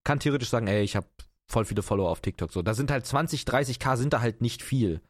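A twenty-year-old man from Potsdam, talking fast and playfully as a podcast co-host. His voice has a middle pitch, and he boasts and laughs easily. The sound is close and dry. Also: no echo. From Potsdam, Germany. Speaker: podcast co-host, content creator. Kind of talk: casual chat.

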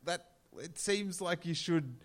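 A man co-hosting a comedic chat who asks wry, deadpan questions. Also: high voice, takes it slowly. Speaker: co-host.

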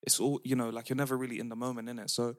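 A man's voice: mid-range voice, rapid-fire, no echo, clean studio sound. A young man, chatting casually as a podcast co-host and telling stories with lots of slang. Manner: animated storytelling, laughing slang-heavy. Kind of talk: casual chat among friends.

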